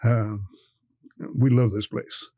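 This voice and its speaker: A man's voice: fairly low pitch, steady tone, takes it slowly, warm tone, no room sound. Older man, passionate and emphatic. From Cuba. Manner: passionate, repeats words when stressing a point. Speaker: bank executive. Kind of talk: podcast interview.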